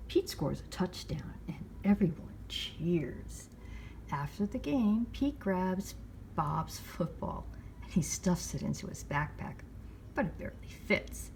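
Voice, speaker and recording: A woman hosting storytime, reading a picture book aloud to young children with measured, expressive phrasing. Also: fairly low pitch, gravelly, a little hiss or hum. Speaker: library storytime host.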